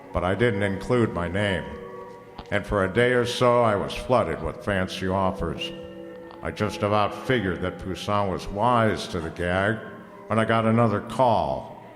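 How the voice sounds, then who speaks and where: A man's voice: fairly low pitch, natural voice. An actor playing a wry, tough private detective who narrates his case in old-time radio drama style, full of wisecracks. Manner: wisecracking, hard-boiled. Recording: echoey hall, long reverb, faint music.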